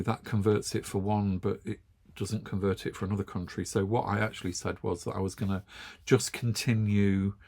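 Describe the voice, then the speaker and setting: Gravelly English man chatting wryly. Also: on the low side, a little mellow. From England. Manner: chatty, wry, chuckles often. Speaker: knitting channel host. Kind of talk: casual chat to camera.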